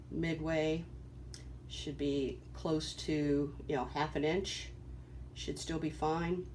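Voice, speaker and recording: A person giving an informal, halting technical demonstration in an explanatory way. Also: mid-range voice, a little mellow. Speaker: presenter demonstrating equipment. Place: small cluttered office workroom, indoors. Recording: faint background room noise.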